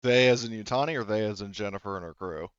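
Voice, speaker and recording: A man's voice: on the low side, fast, clear, resonant tone, no echo, muffled recording. A man running a tabletop game as game master, explaining things casually in a hesitant, rambling way.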